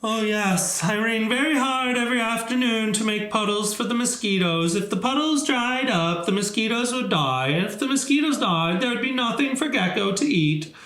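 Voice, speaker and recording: A man's voice: sits high, pushed and bright, echoey room, voice pushed up. A man voicing an earnest, dutiful rain spirit from a children's storybook, explaining itself in a hurry. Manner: hurried, explanatory. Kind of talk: children's storybook read aloud.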